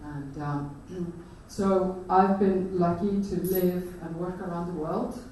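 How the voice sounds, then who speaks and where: A woman with an Icelandic accent gives a conference talk, pausing often and restarting her sentences. Her voice is mellow and dark. It rings through a large, echoey hall, picked up from a distance with faint noise behind. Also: lowish voice, fairly even intonation. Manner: hesitant, many fillers and restarts. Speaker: conference speaker. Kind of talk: presentation talk.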